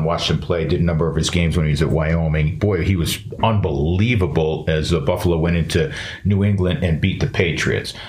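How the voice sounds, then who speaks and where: Chatty middle-aged man, low voice. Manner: chatty, frequent "uh" fillers. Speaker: podcast host. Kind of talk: casual podcast talk and host-read ads.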